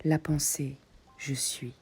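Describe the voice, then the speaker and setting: A woman guiding a meditation in soft, breathy short phrases with long pauses, often close to a whisper. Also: low voice, fairly even intonation. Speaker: yoga nidra meditation guide. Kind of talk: guided meditation.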